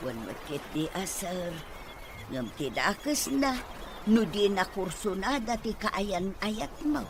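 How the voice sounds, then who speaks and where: Casting: a nosy, respectful servant, unhurried and lightly pitched, Filipino accent, radio serial. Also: male voice, very animated, exaggerated voice. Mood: cautious, worried. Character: deferential, inquisitive male servant or employee. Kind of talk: Filipino radio drama acting. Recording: noisy radio drama with ambient sound.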